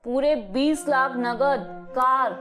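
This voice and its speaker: Woman acting a slow, high-voiced doting Indian mother. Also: steady tone, a bit bright, faint music behind. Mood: teasing, persuasive. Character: pragmatic, doting middle-aged Indian mother of a grown son. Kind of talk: animated Hindi moral story dialogue.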